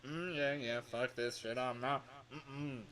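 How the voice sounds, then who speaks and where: A man's voice: mid-range voice, steady tone, slow, fairly bright tone. A man livestreaming in a casual, rambling way, sounding exasperated and self-mocking, and swearing freely. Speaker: livestreamer recording voice lines.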